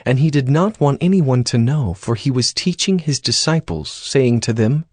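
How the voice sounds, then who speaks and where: A man's voice: medium pitch, expressive, normal speed, a little mellow, no room sound. A man narrating scripture from a script as the storyteller of a dramatized audio reading.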